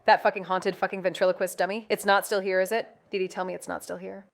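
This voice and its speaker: An actress playing a sarcastic, self-deprecating, sweary woman sleuth in a naturalistic audio drama, with casual deadpan delivery. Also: medium pitch, quick pace, gravelly, no echo, studio quality, natural voice. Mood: sarcastic, nosy.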